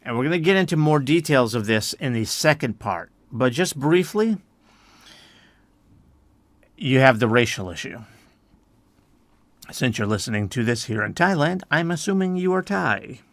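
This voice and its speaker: A man from the United States hosting an educational podcast, explaining things in a relaxed way with many "I mean"s and restarts. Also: mid-range voice, moderate pace, pauses often, dry recording. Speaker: podcast host.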